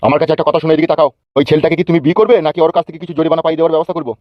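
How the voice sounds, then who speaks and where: A man's voice: a little high, flat delivery, racing, dry recording, lo-fi sound, close to an everyday voice. A man playing a bossy, crooked, foul-mouthed village politician in a cartoon comedy, blustering and commanding. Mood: stern, self-important. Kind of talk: Bengali cartoon comedy dialogue.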